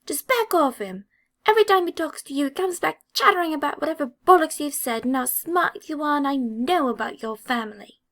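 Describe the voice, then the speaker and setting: Hot-headed schoolboy played by a woman narrator, high and squeaky, sputtering and confrontational, for an audiobook. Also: animated, brisk. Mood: indignant, accusing. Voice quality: sharp, squeaky. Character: angry, blustering red-haired schoolboy, squeaky and indignant.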